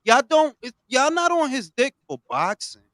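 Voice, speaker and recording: Ranting profane man, wildly swinging pitch. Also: very animated, moderate pace, no echo, clean studio sound. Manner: ranting, profane, swings from low mutters to loud outbursts. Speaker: podcast host. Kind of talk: heated boxing talk.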